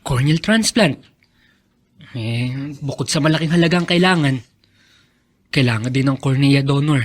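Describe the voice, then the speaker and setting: A man's voice: mid-range voice, expressive, measured pace. An actor playing an earnest, dutiful young Filipino son who sacrifices for his mother, in radio drama style. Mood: polite, hopeful. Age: young adult.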